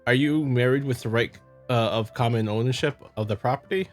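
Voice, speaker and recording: A man's voice: medium pitch, fairly quick, close to an everyday voice. Man playing male detective, brusque, skeptical, probing, dry sarcasm, visual novel dialogue, music behind. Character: brusque, skeptical male investigator questioning a witness.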